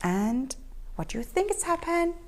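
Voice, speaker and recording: A woman reading a picture book aloud to children in an expressive, animated storytelling style, inviting them to join in. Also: very high voice, a little slow. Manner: expressive, asks the listening children questions.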